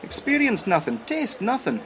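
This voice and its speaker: A man playing a restless, plain-spoken young Highlander with a Scottish accent in an audio drama. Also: huge pitch swings, normal speed, fairly bright tone, full character voice. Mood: grumbling. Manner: colloquial, grumbling. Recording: audible background noise.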